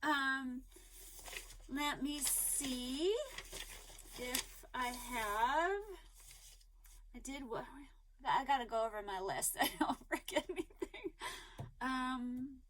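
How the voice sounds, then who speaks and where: A woman with a high-pitched voice chats to her viewers in a loose, rambling way, restarting sentences and filling pauses with ums. She sounds eager and upbeat. Her voice is slightly hoarse. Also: noisy background. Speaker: crochet vlogger. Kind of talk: casual chat to viewers.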